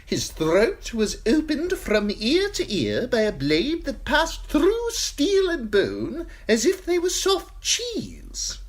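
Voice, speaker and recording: A simpering eunuch courtier with a high, sly, tittering voice, as a woman voices him in an audiobook. His voice leaps all over in reedy, oily swoops. The tone stays soft and husky. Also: huge pitch swings, takes it slowly, few pauses, full character voice. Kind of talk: audiobook character voice.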